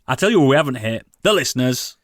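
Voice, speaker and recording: A man's voice: mid-range voice, very animated, quick pace, dry recording, studio quality. A British man co-hosting a podcast in casual banter, blunt, teasing and sweary. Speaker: podcast co-host.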